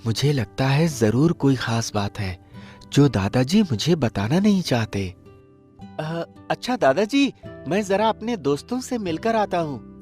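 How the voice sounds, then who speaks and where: A man voicing a devoted, brave young grandson in a cartoon story, earnest and expressive. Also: a little high, measured pace. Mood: pensive, worried. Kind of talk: Hindi cartoon story voice acting. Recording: faint music behind.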